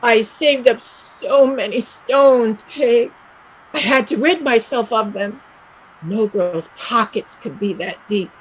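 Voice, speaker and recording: A woman's voice: fairly high pitch, takes it slowly, husky, low-quality recording. A woman acting a restless, dreamy and bitter young Irish emigrant in a play reading, with an emphatic, lyrical delivery.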